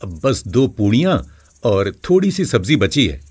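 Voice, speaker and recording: Charity food server, played by a man: resigned, blunt, raspy mid voice, animated delivery, moral story cartoon. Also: lowish voice, unhurried, heavily acted.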